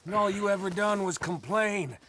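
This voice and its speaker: An actor playing a quarrelsome, goading Western outlaw with an American accent in a video game. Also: male voice, high voice, normal speed, fairly bright tone, slight background noise. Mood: scornful. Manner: mocking, goading. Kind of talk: dramatic video game cutscene acting.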